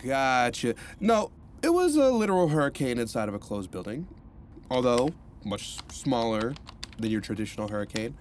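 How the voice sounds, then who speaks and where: An actor playing a cheerful male counselor who talks in an animated, rambling way. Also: mid-range voice, normal speed, a little hiss or hum, natural voice. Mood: cheery. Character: cheerful male rehab counselor, upbeat and chatty.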